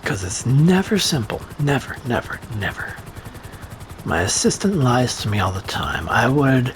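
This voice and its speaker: A man's voice: mid-range voice, very animated, unhurried, a little hiss or hum, low-quality recording. A man rambling through casual gameplay commentary, sarcastic and profane, with a voice that is starting to go. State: losing his voice. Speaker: gaming streamer.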